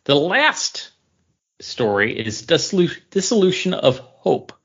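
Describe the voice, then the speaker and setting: A man's voice: on the high side, very animated, takes it slowly, a bit bright, breathy edge, highs cut off. A man co-hosting a podcast, explaining and reviewing in casual chat. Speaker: podcast co-host reviewing comics.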